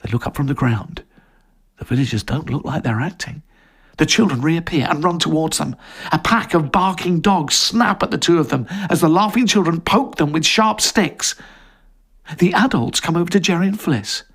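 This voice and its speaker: A man reading a story as dramatic scripted narration, in a suspenseful, expressive manner. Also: on the high side, normal speed, husky.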